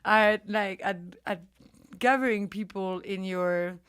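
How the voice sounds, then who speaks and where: A woman's voice: medium pitch, slow, fairly bright tone, clean tone, no room sound, studio quality. A young woman hosting a podcast interview, asking long, meandering questions full of "like". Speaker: podcast host. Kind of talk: casual interview.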